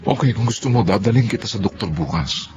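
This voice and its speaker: Casting: man playing mild, solicitous Filipino husband, low and expressive, for a radio serial. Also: quick pace, music in the background, close to an everyday voice. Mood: caring, puzzled. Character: caring, attentive husband, mild-tempered. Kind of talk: Filipino radio drama acting.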